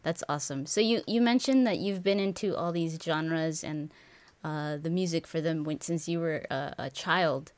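A woman's voice: low voice, normal speed, a little mellow, dry recording. A young woman hosting an interview over a video call from her home, friendly and upbeat, often restarting her questions. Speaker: interview host. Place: home room with bookshelves.

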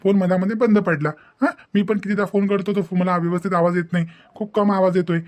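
A man's voice: sits high, little pitch movement, fast, darkish tone, lightly acted. A man voicing a devoted young husband in a cartoon family drama, earnest and insistent, lecturing with questioning tags in a Maharashtrian Marathi accent. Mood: insistent, caring.

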